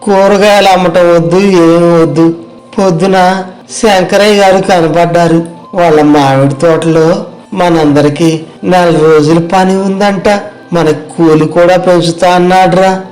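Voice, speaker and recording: A man's voice: high voice, fairly even intonation, a little slow, darkish tone, faint music behind, low-quality recording. A man playing a worried village labourer parent pleading with a son, in an acted moral story.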